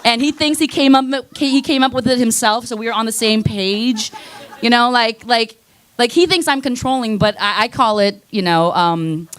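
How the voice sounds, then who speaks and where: A woman's voice: on the high side, normal speed. Rambling, swearing woman doing stand-up. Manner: rambling, self-deprecating, loses her place and laughs at herself; swears casually. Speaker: stand-up comedian. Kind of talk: stand-up comedy set. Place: comedy club stage.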